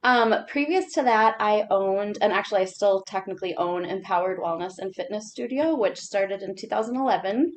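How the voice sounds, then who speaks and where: A woman on a video call recounts how she started her business, rambling and full of fillers. Faint background noise sits under her voice. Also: medium pitch. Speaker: business owner. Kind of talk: panel self-introduction.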